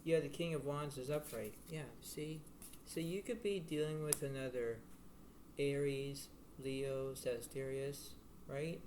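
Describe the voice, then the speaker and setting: A man giving a casual tarot reading to the viewer in a halting, repetitive, rambling way. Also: a little mellow. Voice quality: low-volume voice. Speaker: tarot card reader. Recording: noisy homemade recording with room ambience.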